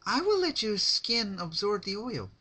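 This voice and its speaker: Man putting on a squeaky, sadistic girl pony. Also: sing-song, unhurried, bright, forceful tone, full character voice. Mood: cheerfully cruel. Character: cheerful sadistic young female pony, sweetly menacing. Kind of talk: amateur dramatic fan-fiction reading.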